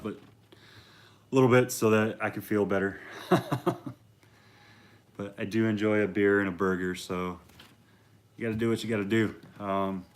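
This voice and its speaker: Deep voiced guy in his 30s just rambling to camera, lots of ums, laid back. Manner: relaxed and rambling, many fillers. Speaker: reptile vlogger. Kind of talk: casual talk to camera.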